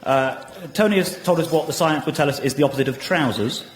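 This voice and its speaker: A man hosts a radio comedy show in a noisy live recording. His voice sits a little high as he addresses guests and listeners. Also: quick pace. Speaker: comedy panel show presenter.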